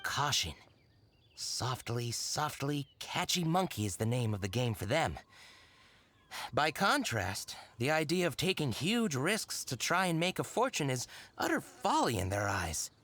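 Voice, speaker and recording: Low-voiced, shifty secret informant. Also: female voice, sing-song, unhurried, fairly bright tone, heavily acted. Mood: confiding. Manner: coy, hesitant cover-ups, storytelling flourish. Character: evasive, secretive underworld informant, playfully shifty. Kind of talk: video game character dialogue.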